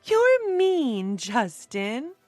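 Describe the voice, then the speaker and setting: A woman narrator voicing a brisk young woman in a story, cool and playfully teasing. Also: high voice, huge pitch swings, a little mellow, dry recording, soft background music, voice pushed up, exaggerated voice.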